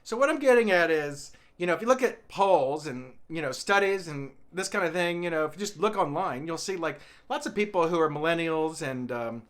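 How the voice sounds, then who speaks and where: A man's voice: a little high, animated, brisk, few pauses, a bit bright. A man from the American South, rambling through a comic monologue and often laughing at his own jokes. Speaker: comedian vlogger.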